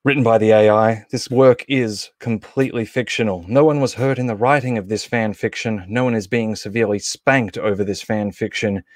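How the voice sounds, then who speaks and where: A man's voice: fairly low pitch, fairly even intonation, fairly quick, hardly any pauses, no room sound, clean studio sound. A man in his thirties or forties hosting a livestream, casually making announcements and introductions in an off-the-cuff, hesitant way. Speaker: livestream host.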